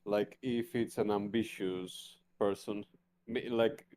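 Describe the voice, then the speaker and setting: A man's voice: lowish voice, steady tone, slow pace, a little mellow, slight background noise. A man playing a tabletop roleplaying game, tentatively thinking aloud in casual discussion.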